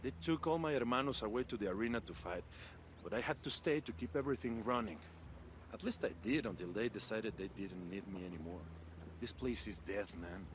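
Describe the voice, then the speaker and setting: A man's voice: lowish voice, animated, fairly quick, natural voice. Weary captive engineer played by a man, noisy surroundings. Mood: weary fear. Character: weary, fearful cartel engineer taken prisoner. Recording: noisy background ambience.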